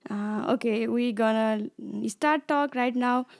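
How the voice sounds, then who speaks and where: Female interviewer, higher register, halting phrasing, informal and unscripted. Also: clean tone. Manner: halting non-native phrasing.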